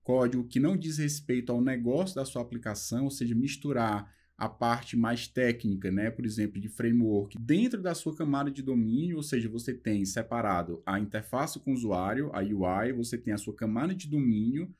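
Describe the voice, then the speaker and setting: Young Brazilian male tech instructor, relaxed and chatty, mid-pitched. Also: husky. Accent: Brazilian Portuguese. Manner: talks loosely with fillers, slows down reading English passages. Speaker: programming instructor. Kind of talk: casual explanatory lesson, reading and translating a book.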